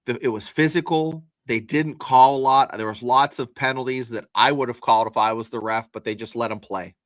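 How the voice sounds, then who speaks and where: A man's voice: mid-range voice, steady tone, fairly quick, fairly bright tone, dry recording. A man co-hosting a sports podcast, explaining his takes in a folksy, casual way. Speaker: podcast co-host.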